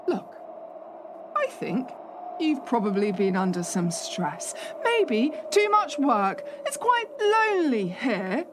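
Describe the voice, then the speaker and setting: A woman's voice: fairly high pitch, huge pitch swings, slow, noisy background, heavily acted. A woman playing a skeptical, sardonic assistant hotel manager from London in a radio play, sometimes hesitant when flustered. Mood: dismissive, sarcastic. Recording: music behind the voice.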